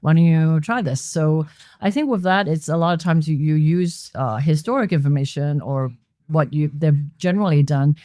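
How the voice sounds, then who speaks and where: Reflective woman from Hong Kong, mellow. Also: deep voice, moderate pace, dry recording, clean studio sound. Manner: reflective, explanatory. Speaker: guest. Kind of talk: conversational interview answers. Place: members' club lounge.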